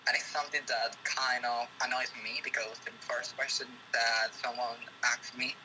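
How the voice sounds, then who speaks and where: A man from Venezuela talks in English with a Venezuelan accent, earnest and going on at length. His voice stays flat and steady, at an ordinary pitch. A clear hiss of noise sits under the recording. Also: moderate pace. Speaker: guest. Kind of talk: casual conversation. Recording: noisy background hiss.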